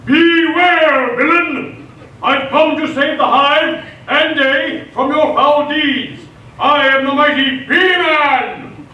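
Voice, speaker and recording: Man playing a very high-pitched, earnest novice superhero. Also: slow pace, sharp tone, lo-fi sound, exaggerated voice. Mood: heroic bluster. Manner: pompous, declamatory, easily flustered. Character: earnest, bumbling novice male bee-costumed superhero. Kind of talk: stage radio theatre comedy acting. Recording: echoey hall, distant mic, faint noise.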